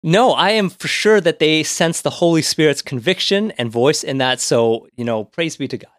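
Slightly high-voiced man hosting, dry close sound. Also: animated, normal speed, dry recording. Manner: affirming, informal. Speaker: podcast host. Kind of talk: casual conversational interviewing.

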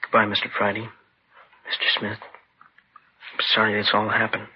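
An actor playing an emotional, smothered twenty-two-year-old son with a husky voice in a 1950s radio drama. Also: on the low side, monotone, moderate pace, slight background noise, natural voice. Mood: defeated. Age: young adult. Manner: emotional, earnest. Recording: dull, lo-fi 1950s radio broadcast.

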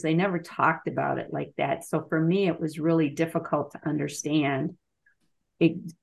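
A woman's voice: low-pitched, creaky. A woman in a casual group discussion who sounds questioning and searches for her words. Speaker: book club participant.

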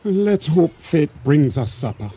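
A man acting a cautious, law-abiding island provisioner in a radio drama, questioning and expressive, in formally phrased speech. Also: fairly high pitch, unhurried, noisy background. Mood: wry, resigned.

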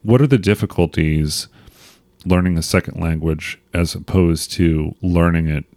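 Male interviewer, very low voice, attentive and curious, dry close recording. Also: measured pace, darkish tone, no echo. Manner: attentive, many short backchannels. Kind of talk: conversational interview.

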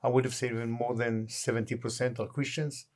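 An older Israeli-accented man talks as an interview guest. He gets his thoughts out slowly, stopping on fillers and starting phrases over as he searches for English words. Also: medium pitch, level tone. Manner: halting, many fillers and restarts. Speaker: tour guide, guest. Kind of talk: casual interview chat.

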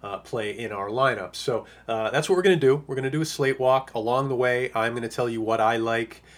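Man, hoarse, casual fantasy sports podcaster, ordinary pitch, loose rambling delivery. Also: brisk. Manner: rambling, lots of uh and you know. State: hoarse, losing his voice. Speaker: fantasy football analyst.

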